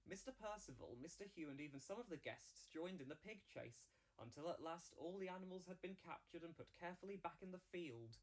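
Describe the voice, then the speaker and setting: A man's voice: a little high, animated, fairly quick, low-quality recording. A man narrating a children's storybook from a script.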